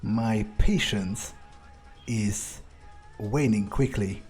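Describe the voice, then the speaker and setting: A man plays a menacing vampire during a game playthrough. His voice is mellow and dark, and he takes his time with each deliberate, sardonic phrase. Faint music runs behind him. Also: lowish voice. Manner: slow, deliberate, menacing delivery. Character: menacing, sardonic male vampire who taunts his victims. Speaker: gamer roleplaying a vampire character in a playthrough. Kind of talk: in-character game playthrough commentary.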